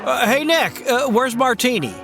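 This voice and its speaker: Troubled 1940s small town guy, man acting, stammers and hesitates, pitch all over, music behind. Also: lively intonation, normal speed, vocal fry, a crowd in the background, exaggerated voice. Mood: confused. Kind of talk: old-time radio drama acting.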